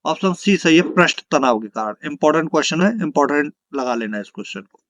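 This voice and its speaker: A man's voice: on the high side, animated, quick pace, fairly bright tone, no echo. A man teaching an exam lesson, reading out questions and answers and repeating them for emphasis.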